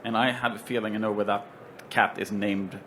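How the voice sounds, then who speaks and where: A man with a Swedish accent introduces a trade show panel in an easy, joking manner. He often pauses on ums and uhs. A faint hum of the show floor sits behind his voice. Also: fairly low pitch, fairly even intonation, fairly bright tone. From Sweden. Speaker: panel moderator. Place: trade show stage with stands around.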